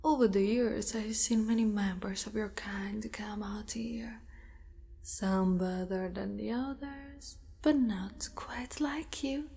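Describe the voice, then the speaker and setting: A woman voicing a possessive, menacing yet doting spider-monster woman in an ASMR roleplay, often whispering, in a deliberate, coaxing manner. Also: medium pitch, fairly even intonation, gravelly, dark tone. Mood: tender, wistful. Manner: slow, deliberate, sinister coaxing. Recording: long reverberant cave-like echo.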